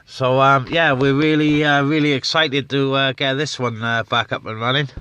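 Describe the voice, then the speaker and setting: Chatty man, bright clear voice. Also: medium pitch, fairly even intonation. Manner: chatty, with many filler pauses. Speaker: CB radio operator. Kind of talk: casual radio chat and vlog talk. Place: inside a parked vehicle.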